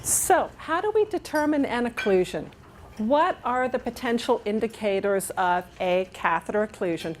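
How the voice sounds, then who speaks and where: A woman's voice: a little high, expressive, moderate pace, slight background noise. A middle-aged woman from England, with no trace of an accent, giving a nurse education talk in a dining room, upbeat and chatty and often asking the audience to agree. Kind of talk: conference presentation. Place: dining room with large windows.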